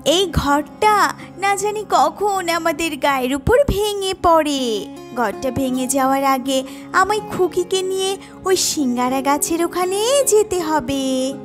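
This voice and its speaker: A woman acts out a tender, worried mother bird in a melodramatic cartoon. The voice is extremely high and drawn out, pleading and plaintive. Music plays clearly behind it. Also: expressive, slow pace, heavily acted. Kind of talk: melodramatic children's cartoon acting.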